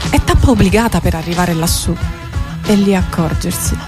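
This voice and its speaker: A woman giving an expressive, wry theatrical reading of literary prose. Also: on the low side, moderate pace. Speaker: performer reading prose poems. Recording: music behind the voice. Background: music.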